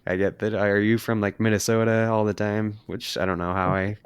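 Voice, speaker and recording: Smooth, dry-voiced podcast host man. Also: fairly low pitch, level tone, fairly quick, no echo. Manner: rambling, filler-heavy questions. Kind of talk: casual podcast interview.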